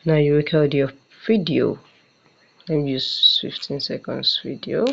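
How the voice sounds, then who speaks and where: A man's voice: fairly high pitch, steady tone, unhurried, vocal fry, fairly bright tone, breathy edge. A man presenting a step-by-step app tutorial, often repeating and restarting his phrases on a muffled recording. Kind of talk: step-by-step screen tutorial narration.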